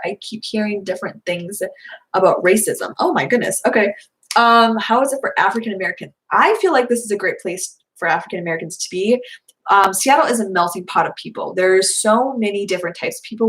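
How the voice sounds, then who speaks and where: Chatty young woman with a slightly hoarse voice. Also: mid-range voice, a bit bright, low-quality recording. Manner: chatty, rambling with many fillers. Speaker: vlogger answering viewer questions. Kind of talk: casual live Q&A chat.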